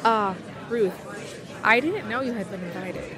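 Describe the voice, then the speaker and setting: A woman's voice: mid-range voice, a little slow, natural voice. A woman playing a polite, helpful schoolteacher with an obliging manner in an amateur audio drama. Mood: polite, puzzled. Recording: busy crowd noise behind.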